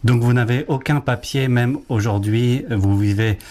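A man's voice: mid-range voice, a little slow, dark tone. A man interviewing a guest, asking brief and inquisitive questions.

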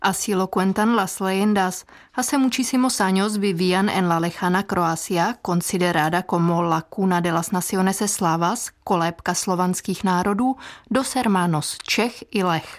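A woman co-presenting a scripted radio language lesson, telling a legend clearly. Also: on the low side, a little slow. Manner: clear, storytelling. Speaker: radio co-host.

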